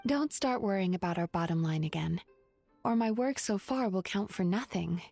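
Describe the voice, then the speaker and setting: A woman playing a composed, caring, gently wry young therapist with a measured, articulate manner in an anime-style video game. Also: medium pitch, expressive, vocal fry, no echo, soft background music. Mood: reassuring.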